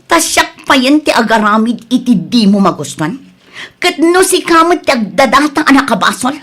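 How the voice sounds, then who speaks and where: A woman's voice: sits high, big pitch swings, normal speed, a bit bright, exaggerated voice. A woman playing a long-suffering young wife and mother in a radio drama, speaking in an earnest, emotive way. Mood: indignant, hurt. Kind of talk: Ilocano radio drama acting.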